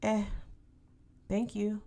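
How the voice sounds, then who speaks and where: A woman's voice: mid-range voice, level tone, extremely slow, mellow. A woman reading the part of a modest, polite teenage schoolgirl who answers hesitantly in a story. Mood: surprised, hesitant. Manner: short, hesitant, polite replies.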